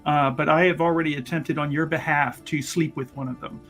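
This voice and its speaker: Casting: grandiose would-be seducer and rescuer played at a gaming table, medium pitch, stumbling yet flowery, music underneath. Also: steady tone, close to an everyday voice. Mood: sheepish boasting. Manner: halting, grandiose, flowery wording. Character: flirtatious, self-important would-be rescuer in a fantasy game. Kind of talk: improvised in-character tabletop roleplay.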